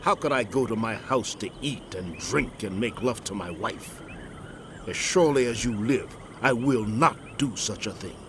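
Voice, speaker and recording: An actor playing a loyal, principled ancient soldier, earnest and solemn, in a dramatized scripture reading. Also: male voice, lowish voice, lively intonation, moderate pace, noisy background. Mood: earnest, resolute.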